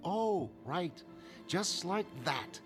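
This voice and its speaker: A man plays a young woman in a dramatized comic book, sardonic and bitter. She talks bluntly and impatiently, like a fed-up daughter. The pitch swings freely and music plays clearly underneath. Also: animated, drawn-out words, full character voice. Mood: indignant, bitter. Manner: blunt, sarcastic, colloquial. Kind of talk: dramatic comic-book narration in character voices.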